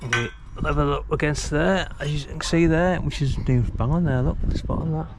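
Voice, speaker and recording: A man's voice: fairly high pitch, normal speed. Laid-back man, noisy outdoor recording. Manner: rambling, laid-back, colloquial, thinking aloud. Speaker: amateur radio hobbyist filming a DIY video. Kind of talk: casual chat while demonstrating DIY. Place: outdoors beside a brick house wall.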